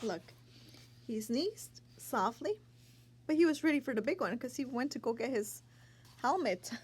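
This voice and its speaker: Woman, high voice, slow children's read-aloud, chatty asides, some faint background noise. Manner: expressive, pausing to ask the listener questions. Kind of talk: animated picture-book read-aloud with asides to young children.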